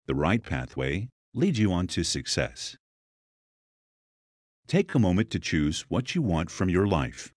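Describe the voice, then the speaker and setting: A man narrating a scripted guided meditation, giving slow, deliberate, soothing instructions with pauses between phrases. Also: low voice, big pitch swings, no echo, studio quality.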